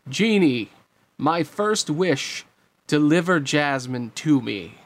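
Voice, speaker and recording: A man voicing a smug, sneering, scheming sorcerer with a grating voice, gloating with drawn-out menace in a storybook reading. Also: fairly high pitch, lively intonation. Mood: commanding.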